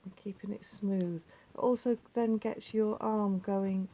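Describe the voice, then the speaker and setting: A woman with a slightly croaky voice, patiently talking viewers through a craft step by step and often dropping to a near whisper. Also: mid-range voice, fairly even intonation, measured pace, warm tone, muffled recording. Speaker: needle felting teacher. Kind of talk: hands-on craft tutorial.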